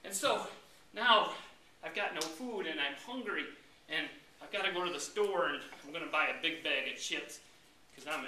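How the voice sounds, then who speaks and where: A man's voice: fairly high pitch, bright, forceful tone. An American man giving a humorous tall-tale speech in a theatrical, mock-indignant storytelling manner, acting out his lines. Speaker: club speaker. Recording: roomy, distant mic with background noise.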